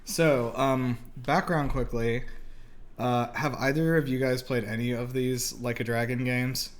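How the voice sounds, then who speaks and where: A man hosting a movie reaction show, explaining things in a halting, informal, conversational way as he thinks aloud. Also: mid-range voice, steady tone.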